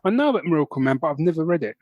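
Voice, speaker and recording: A man's voice: on the high side, big pitch swings, racing, a little mellow, a little hiss or hum. A man co-hosting a podcast, chatting casually and enthusiastically in loose, conversational phrases. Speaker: podcast host. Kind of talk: casual chat about comics.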